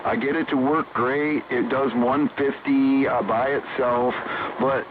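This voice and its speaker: A man's voice: medium pitch, fairly even intonation, unhurried. A man chatting casually over CB radio as an operator, rambling and slangy with the odd swear word. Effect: heard over CB sideband radio. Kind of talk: casual on-air radio chat. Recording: noisy, narrow-band CB radio transmission.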